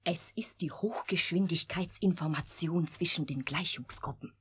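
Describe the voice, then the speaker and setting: Very breathy, solemn artificial young woman. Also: on the low side, moderate pace. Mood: coldly logical. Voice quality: breathy, often near whisper. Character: young artificial woman, coldly logical yet anguished. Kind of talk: German radio drama acting.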